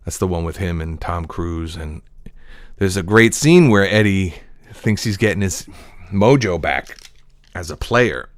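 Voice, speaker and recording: A man's voice: low voice, lively intonation, a little slow, no echo, clean studio sound. A male voice-over actor talking in a casual, digressive solo monologue from his desk. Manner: digressive, reflective storytelling.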